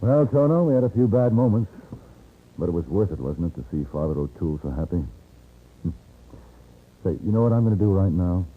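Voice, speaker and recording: A man's voice: deep voice, lively intonation, a little fast, pauses often, husky. A man playing a wry, self-assured American gunfighter in a 1950s radio western. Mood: easygoing. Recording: tinny old radio recording.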